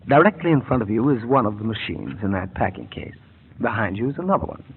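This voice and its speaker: A gloating mad-scientist villain. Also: male voice, lowish voice, very animated, quick pace, slightly rough, full character voice. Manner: suave, chuckling menace. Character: cold, mocking male mad scientist villain. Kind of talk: 1940s radio acting. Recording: tinny lo-fi old radio broadcast with faint hiss.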